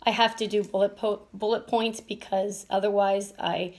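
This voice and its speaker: A woman's voice: mid-range voice, fairly even intonation, moderate pace, a little mellow. A woman from Venezuela hosting a casual family vlog, rambling a bit with restarts and fillers as she talks. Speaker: vlog host and stay-at-home mom.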